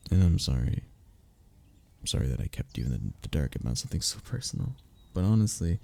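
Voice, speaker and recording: A young man playing a wistful, lovestruck childhood best friend in an intimate audio roleplay, speaking in a halting, sighing, confessional way. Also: fairly low pitch, vocal fry, dark tone, full character voice. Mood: confessing, apologetic. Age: young adult.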